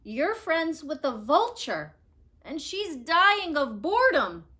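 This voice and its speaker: A woman's voice: sits high, sing-song, slow pace, bright, forceful tone, pitched above the performer's own voice, full character voice. A woman voicing a blunt, exasperated female vulture in a storybook, speaking emphatically. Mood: bored, fed up. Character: blunt, easily fed-up female vulture friend.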